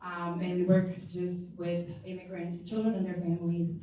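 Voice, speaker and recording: A woman's voice: lowish voice, completely flat delivery, normal speed, dark tone, slight background noise. A woman from Rwanda, a community engagement manager, talking conversationally on a panel with restarts. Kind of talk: panel introduction. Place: large room at a public forum. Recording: echoey room, distant mic.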